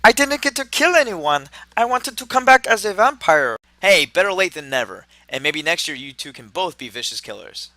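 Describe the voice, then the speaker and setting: A man's voice: high-pitched, big pitch swings, moderate pace, hardly any pauses, pushed and bright, no room sound. A man delivering scripted comic banter in a radio drama.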